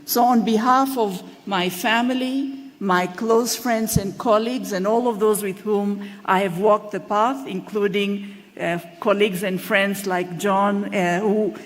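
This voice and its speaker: A woman delivers a formal acceptance speech in a measured, deliberate way. She slows to stress key phrases, and her voice sits at a mid pitch. Her voice rings with the long reverb of a large hall. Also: steady tone. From Tanzania. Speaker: award recipient. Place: large hall at an awards ceremony.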